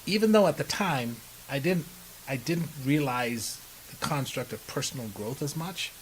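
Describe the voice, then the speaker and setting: A man's voice: mid-range voice, normal speed, rough recording. Middle-aged man with hissy background. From Bahamas. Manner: emphatic, checks in with "right?". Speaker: podcast guest, personal development speaker. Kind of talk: conversational interview answers. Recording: steady background hiss.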